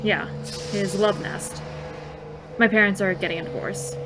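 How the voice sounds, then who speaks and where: A woman's voice: mid-range voice, fairly even intonation, unhurried, over music, natural voice, low-quality recording. An actress playing an anxious, earnest teenage girl in an audio drama, frank and protective of her friend. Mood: resigned, frank.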